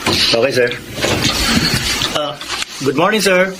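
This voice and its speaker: A man's voice: a little high, lively intonation, slow, heavy background noise. A man acting a polite, helpful store worker in a radio drama, deferential and businesslike, with a Filipino accent. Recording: clattering background shop ambience.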